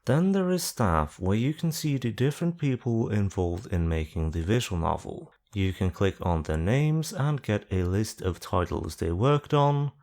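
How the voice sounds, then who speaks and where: Lively deep-voiced man with dry sarcasm. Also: unhurried, hardly any pauses. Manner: lively sing-song intonation. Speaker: video guide host. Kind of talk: screen-recorded tutorial narration.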